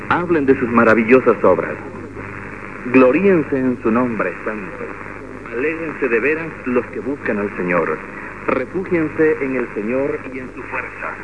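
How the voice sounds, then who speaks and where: A man reading a long scripture passage aloud at a church service, measured and formal. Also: mid-range voice. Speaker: scripture reader. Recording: muffled with background noise.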